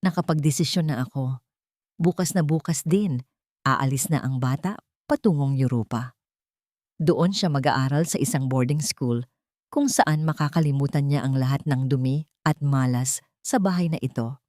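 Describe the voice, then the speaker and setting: A woman's voice: a bass voice, normal speed, warm tone, no room sound, studio quality. A woman voicing a rich old matriarch in an audio drama, cold, commanding and threatening. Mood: imperious.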